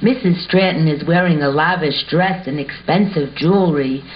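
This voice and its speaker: A woman's voice: low-pitched, normal speed. A woman reading scripted narration and stage directions as the narrator of an amateur show. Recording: muffled home recording with hiss.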